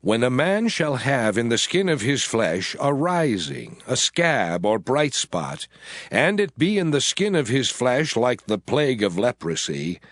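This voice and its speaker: A man's voice: medium pitch, no echo, clean studio sound. A man playing God as a stern, solemn lawgiver, formal and declamatory in a dramatized scripture reading.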